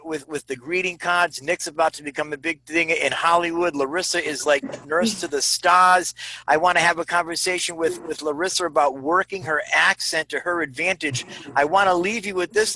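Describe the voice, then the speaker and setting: A man's voice: fairly high pitch, sharp tone, poor audio. A man co-hosting a casual video call chat, speaking emphatically and with enthusiasm.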